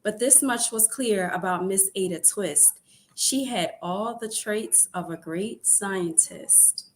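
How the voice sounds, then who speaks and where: A woman's voice: medium pitch, takes it slowly, a little hiss or hum. A woman storyteller reading a children's picture book aloud, expressively and with phrases repeated for emphasis. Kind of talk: read-aloud bedtime story narration.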